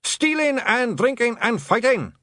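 A rowdy little blue Scottish fighting man of storybook kind. The voice is pushed very high and leaps about, boisterous and brash, with a dry studio closeness. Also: sing-song, slow, no room sound, full character voice. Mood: gleeful shouting. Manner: shouting, chanting, boisterous. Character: mob of tiny rowdy blue fighting men.

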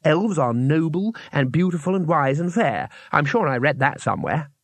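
A man's voice: on the high side, big pitch swings, moderate pace, no echo. A man voicing a naive and earnest male traveller in a fantasy audiobook. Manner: defensive, earnest.

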